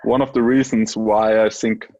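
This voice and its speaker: Low-voiced man, lo-fi sound. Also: level tone, moderate pace, a little mellow, no echo. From Germany. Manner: friendly, brief interjections, laughs along. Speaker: interviewer, former world champion. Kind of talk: casual interview chat.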